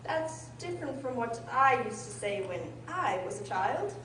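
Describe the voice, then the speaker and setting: In an echoey room, a know-it-all old gryphon acted by a woman gives brisk orders and explanations in a fairly high voice. The radio-drama performance is picked up from a distance, with faint noise. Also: very animated, fairly bright tone. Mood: bossy. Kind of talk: amateur radio drama acting.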